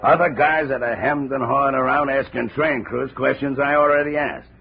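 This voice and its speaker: A man acts out a gruff, wry American country lawman in a vintage radio play. His mid-pitched voice is gravelly and folksy, over a dull recording with some hiss. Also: fairly even intonation, normal speed, soft background music, lightly acted. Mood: wry, approving. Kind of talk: 1950s radio drama acting.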